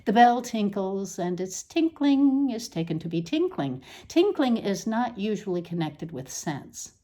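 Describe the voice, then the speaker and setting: A woman reading a literary essay aloud as scripted narration, with deliberate, measured and emphatic phrasing. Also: medium pitch, sing-song, warm tone. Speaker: essay reader.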